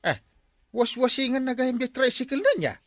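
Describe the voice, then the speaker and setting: A man playing a lazy, bossy villager in a comedy audio drama, whiny, excitable and evasive. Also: very high voice, normal speed, no room sound. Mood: nosy, scheming. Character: lazy bossy village man who orders others to do chores. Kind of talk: comedy radio drama acting.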